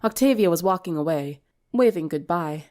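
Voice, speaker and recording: A woman narrating a story dramatically in an expressive, theatrical way. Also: lowish voice, moderate pace. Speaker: fan fiction reader.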